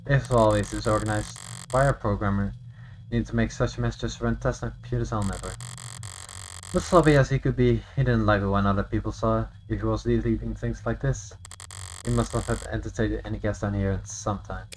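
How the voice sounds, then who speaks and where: A man's voice: lowish voice, fairly even intonation, slight background noise, poor audio. A man reading a visual novel aloud on a live stream, stumbling and rereading lines, with offhand asides. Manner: halting reader who repeats and rereads lines. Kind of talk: live game read-aloud with off-script asides. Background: game music.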